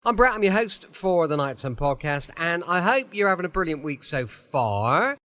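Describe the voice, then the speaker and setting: A man's voice: a little high, very animated, normal speed, bright, forceful tone. A man hosting an old-time radio podcast from his home, chatting in a friendly, rambling way before the episode. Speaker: podcast host. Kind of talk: casual chatty podcast introduction.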